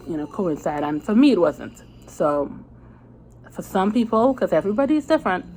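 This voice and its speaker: A woman's voice: lowish voice, darkish tone. A woman with a Trinidadian accent chatting casually to her viewers, rambling a little with fillers and restarts. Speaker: vlogger. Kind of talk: casual chat to camera.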